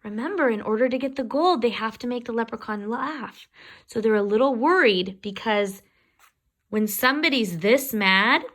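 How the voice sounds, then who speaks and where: A woman reading a children's storybook aloud expressively, pausing to explain words and pictures to young listeners. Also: fairly high pitch, fairly bright tone.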